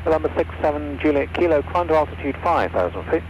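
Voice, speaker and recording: A man's voice: a little high, sing-song, fast talker. A man speaking as an air traffic controller in clipped phraseology over aircraft radio. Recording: dull, noisy aircraft radio reception.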